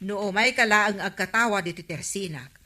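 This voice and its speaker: An actress playing a nosy, prodding village gossip in an Ilocano radio comedy drama. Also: female voice, mid-range voice, moderate pace, pushed and bright.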